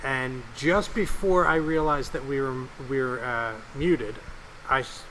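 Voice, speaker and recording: A man co-hosting a casual podcast, slightly raspy from a recent cough, rambling and joking. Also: mid-range voice, unhurried, a bit bright, slight background noise, low-quality recording. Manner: rambling, jokey, swears casually. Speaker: podcast co-host and producer.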